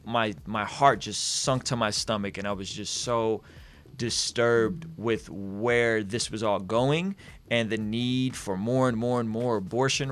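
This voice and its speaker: A man's voice: medium pitch, fairly bright tone. A man hosting a live stream, talking with energy, repeating words and restarting sentences as he goes. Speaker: host.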